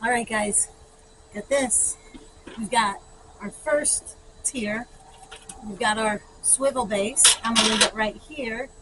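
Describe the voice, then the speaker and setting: A woman's voice: on the high side, slow, long pauses, a bit bright, a little hiss or hum, poor audio. A woman chatting casually and thinking aloud as she demonstrates for a garden vlog. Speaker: garden vlogger.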